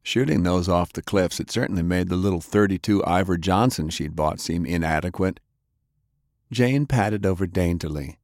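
A man's voice: low-pitched, normal speed, darkish tone, no echo, studio quality. A man reading an adventure novel aloud as scripted audiobook narration.

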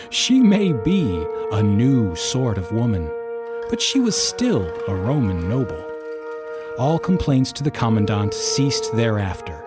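A man narrating a historical war tale for an audio drama in a grave, wry storytelling manner. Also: medium pitch. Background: music under the narration.

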